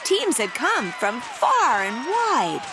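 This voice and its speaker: A woman reading a children's picture book aloud in an animated, theatrical manner with dramatic emphasis. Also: sits high, slow, music in the background, a crowd in the background. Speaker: storybook reader.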